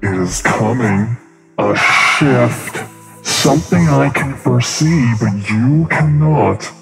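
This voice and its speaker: A grave, otherworldly oracle made of light, with a deeply creaking voice that crawls out in halting fragments of foreboding. Heavy music swells under this fantasy audiobook figure. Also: male voice. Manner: halting, ominous short phrases. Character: cryptic omen-speaking spirit of pixelated light, grave and foreboding. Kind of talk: fantasy audiobook drama acting.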